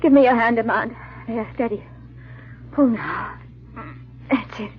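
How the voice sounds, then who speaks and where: An actress playing a frightened but resolute wife on the run in an old-time radio drama, earnest and dramatic. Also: female voice, on the high side, measured pace, natural voice. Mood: frightened, urgent. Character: frightened, resolute wife fleeing her murderous husband. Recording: muffled old radio recording.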